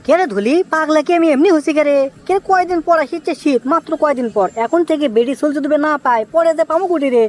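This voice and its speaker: A high, quick-talking young village bachelor played by a man in a comic cartoon, desperate for a wife. He whines and exclaims impatiently in a Bogura accent, his voice pitched up. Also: darkish tone. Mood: agitated. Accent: Bogura, northern Bangladesh.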